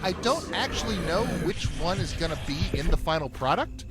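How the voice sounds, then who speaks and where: A man's voice: high voice, very animated, fairly quick. A man who voiced a game character, chatting candidly and with some swearing about how he made the voice. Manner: candid and profane, laughs easily. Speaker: voice actor and game team member. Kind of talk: casual behind-the-scenes chat. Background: music.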